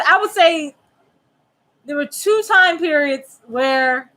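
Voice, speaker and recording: Woman with a high bright voice, opens up slowly, searching for words. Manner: reflective, open, searching for words. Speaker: podcast co-host. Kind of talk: candid casual chat.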